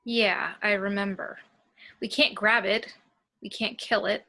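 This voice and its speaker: A woman's voice: medium pitch, fairly even intonation, a little slow, sharp tone. A woman reading a wary, challenging spaceship officer in an amateur table read, direct and questioning.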